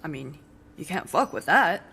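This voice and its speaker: An actress playing a bored, blunt teenage girl who answers with flat sarcasm. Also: deep voice, normal speed, vocal fry, breathy edge, heavily acted. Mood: deadpan. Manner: deadpan, sarcastic, dismissive.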